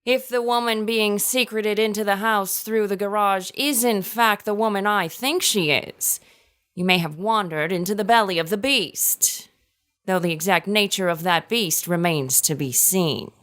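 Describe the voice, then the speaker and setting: A woman's voice: mid-range voice, unhurried, a bit bright, close to an everyday voice. A woman playing a witty, worldly sister reading her letter aloud in a period radio drama, arch and articulate. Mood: wry, confiding.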